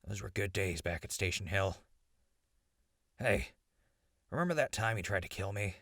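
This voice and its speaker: An actor playing a sardonic, laid-back ghoul survivor in an audio drama, raspy and gravelly, with a casual, teasing way of talking. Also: male voice, low-pitched, moderate pace, fairly bright tone, no echo, clean studio sound. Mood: wry nostalgia. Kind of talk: post-apocalyptic audio drama acting.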